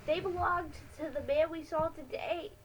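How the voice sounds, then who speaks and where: Woman voicing a high-pitched bossy boy detective. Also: steady tone, moderate pace, slight background noise. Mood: explaining. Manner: confident, commanding, explaining like a detective. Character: bossy, excitable boy detective in an adventure story.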